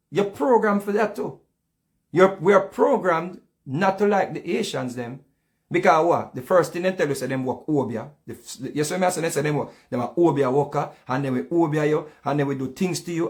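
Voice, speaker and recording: A man with a Jamaican accent giving casual, heated commentary in patois, often repeating himself. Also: fairly high pitch. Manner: heated, repetitive, speaks in patois.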